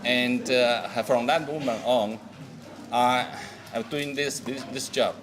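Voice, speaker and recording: A man who is not a native English speaker, giving an unscripted volunteer testimonial in halting, non-native phrasing. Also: mid-range voice, over music.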